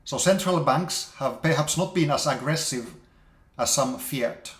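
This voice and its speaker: A man giving a measured, explanatory investment presentation, walking through charts as an investor. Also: a little high, a bit bright. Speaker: investor presenting market outlook. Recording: roomy, echoey sound.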